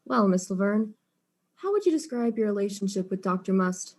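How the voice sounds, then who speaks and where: Casting: woman playing tough investigator, mid-pitched, dry and curt, easily exasperated, comic audio drama. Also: lively intonation, normal speed, a little mellow. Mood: probing. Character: blunt, tough, exasperated detective questioning suspects.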